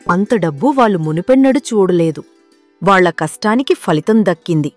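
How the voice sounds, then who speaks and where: A woman's voice: on the low side, animated, fairly quick. Woman narrating a story over music. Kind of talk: scripted moral story narration. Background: background music.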